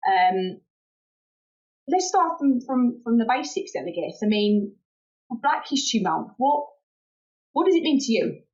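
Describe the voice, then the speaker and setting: A woman's voice: fairly high pitch, sing-song, a little slow, long pauses, darkish tone, muffled recording. A woman hosting a podcast, chatting in an informal and encouraging way as she interviews. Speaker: podcast host. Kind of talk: casual interview chat.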